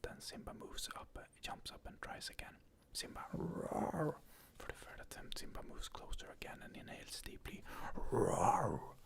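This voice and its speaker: Brief: ASMR narrator, steady soft whisper, gravelly texture, wide pitch swings. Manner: soft unvoiced whisper, describing scenes. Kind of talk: whispered ASMR film retelling.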